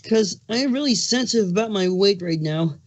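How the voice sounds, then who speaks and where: A man's voice: sits high, expressive, fairly quick. A man voicing a moody, snappish pregnant male changeling in a cartoon fan fiction reading. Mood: indignant, defensive. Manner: snappish, touchy, sarcastic. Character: irritable, moody pregnant male changeling creature with big appetite.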